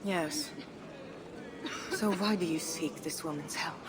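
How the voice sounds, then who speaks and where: An actress playing a composed, compassionate medieval Frankish queen in a video game, speaking in a measured, formal, pious way. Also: deep voice, very noisy. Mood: guarded. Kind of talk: dramatic video game acting.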